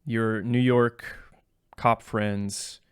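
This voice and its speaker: A man's voice: lowish voice, level tone, extremely slow, clean tone, no room sound, studio quality. A man co-hosting a podcast, chatting casually about video games in a joking, self-deprecating way. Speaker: podcast co-host.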